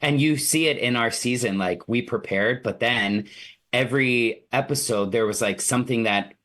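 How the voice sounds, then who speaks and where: A man's voice: medium pitch, normal speed, a bit bright. A man talking as a guest in a casual interview, telling stories with eager energy. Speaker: race winner guest.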